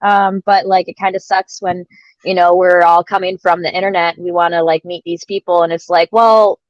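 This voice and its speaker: A woman from central Illinois chatting casually on a livestream call, sniffly and stuffed up from allergies, talking fast and laughing often. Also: fairly low pitch, little pitch movement, no echo, lo-fi sound. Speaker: guest co-host on a crypto stream. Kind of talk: casual chat.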